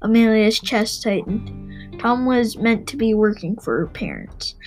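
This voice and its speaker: High-ish female voice, children's audiobook reading, stumbles and restarts, faint music behind. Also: animated, measured pace, darkish tone. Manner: stumbles and rereads phrases. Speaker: reader and host of a read-aloud show. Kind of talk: reading a children's novel aloud.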